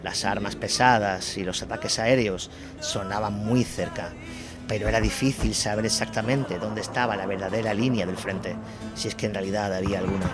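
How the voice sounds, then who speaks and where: A low-pitched male narrator delivers a scripted war chronicle. Music plays clearly behind his voice throughout, as in an audio drama. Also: steady tone, moderate pace.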